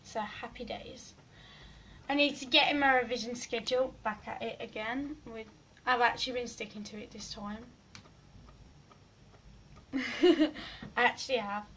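Hoarse teenage girl rambling at a phone. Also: fairly high pitch, a little slow, pauses often, slight background noise, poor audio. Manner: rambling, giggly, drifts loud and soft. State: hoarse, losing her voice. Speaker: vlogger. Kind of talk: casual selfie vlog chat. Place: small bedroom indoors. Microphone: phone camera at arm's length.

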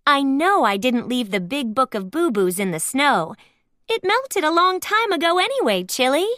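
A woman voicing a caring, cheerful little girl who doctors toys in a children's storybook, earnest and animated. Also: sits high, moderate pace, no room sound, studio quality. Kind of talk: children's storybook character reading.

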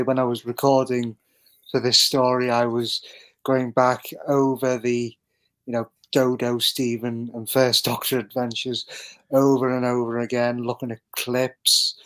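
A man giving a reflective interview as a voice actor on an online call, speaking haltingly as he thinks aloud. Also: medium pitch. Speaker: podcast guest, voice actor.

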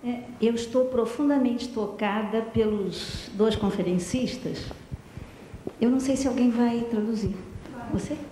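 A Brazilian woman, a psychologist, asking a halting, heartfelt question from the audience into a handheld mic. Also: a little high, mellow, slight background noise. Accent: Brazilian Portuguese. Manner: halting, heartfelt, many fillers. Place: large hall, audience. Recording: roomy hall, long reverb.

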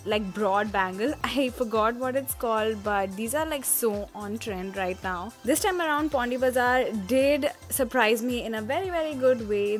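A young woman talks to her viewers in a bright, fairly high voice, upbeat and chatty as she browses market stalls. She speaks close to a handheld camera, with soft music faintly underneath. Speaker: fashion vlogger. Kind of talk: casual shopping vlog. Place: outdoor street market and indoor shopping arcade.

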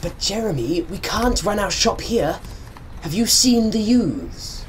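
An actor playing a timid, devoted and inept posh British brother in a radio comedy, hesitant and polite. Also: male voice, sits high, sing-song, takes it slowly, a little hiss or hum, heavily acted. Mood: anxious.

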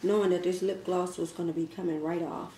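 A woman's voice: on the low side, steady tone, fairly quick, warm tone. Chatty woman eating in an echoey kitchen. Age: 30s. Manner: chatty, laughs often, talks while eating. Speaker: mukbang vlogger. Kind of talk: casual Q and A chat while eating. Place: home kitchen, indoors. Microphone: fixed camera across a table. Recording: echoey kitchen with faint ambient noise.

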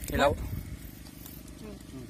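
A man's voice: drawn-out words, very noisy. A young adult man chatting casually to the camera as a vlogger, recorded outdoors on a handheld phone. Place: outdoors at night, roadside by water. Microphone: handheld phone at arm's length.

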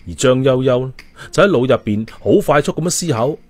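Animated male storyteller. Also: mid-range voice, fairly quick. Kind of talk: expressive audiobook narration with character voices. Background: music.

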